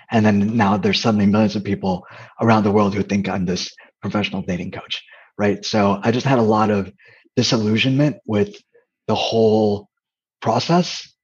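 A soft-spoken man, a dating coach and comedian, giving reflective interview answers and often restarting his sentences. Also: fairly low pitch, breathy edge, highs cut off. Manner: hesitant, with frequent restarts.

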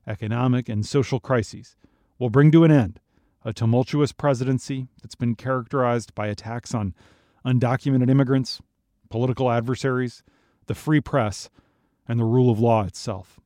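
An American man narrates scripted news as a podcast host. His voice sits a little high and is recorded very dry, with no room sound around it. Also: dark tone, dry recording.

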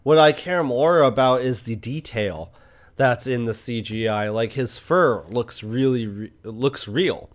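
A man speaks in a medium-pitched voice as a podcast co-host. He reviews films casually, thinking aloud in a reflective, conversational manner. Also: a little slow. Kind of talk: casual film review chat.

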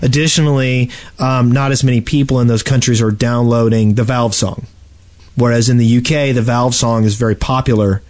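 A man with an average-pitched voice co-hosts a podcast. He talks in a casual, conversational style, as in an informal group chat. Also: steady tone, moderate pace, a little mellow. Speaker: podcast co-host.

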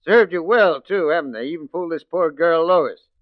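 A man plays a crafty American police detective passing as a crook in a vintage radio crime drama. He speaks deliberately and with theatrical command in a fairly high male voice. The old recording is dry and dull. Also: no room sound, close to an everyday voice. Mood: accusing, triumphant. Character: shrewd police detective posing as a crooked forger. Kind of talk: 1940s radio acting.

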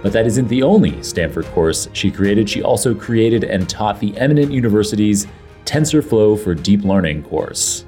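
A man with a lowish voice hosts a data science podcast. His delivery is effusive and enthusiastic, moving from a polished scripted introduction into easy conversation. Faint music plays under his opening lines. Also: animated, moderate pace, warm tone. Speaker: podcast host. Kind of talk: scripted intro then casual interview chat. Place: home studio, guest on a remote call.

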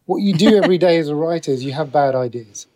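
A man's voice: on the high side, fast, warm tone. A man chatting as an interview guest, thinking aloud and often rephrasing as he goes. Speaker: interview guest, TV and radio writer. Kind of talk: casual interview conversation.

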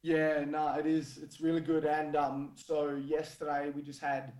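A man who coaches fighters at a gym, talking casually in a halting way with plenty of fillers, his voice croaky and hoarse. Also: a little high, monotone, darkish tone. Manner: halting, lots of fillers and restarts. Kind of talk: casual podcast chat. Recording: roomy echo.

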